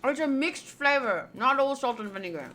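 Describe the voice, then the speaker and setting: Young woman doing a game stream, bright sharp voice, casual and playful, swears a bit. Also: high-pitched, expressive, normal speed, a little hiss or hum. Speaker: streamer playing a visual novel. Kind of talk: casual gameplay chat, reading game lines aloud.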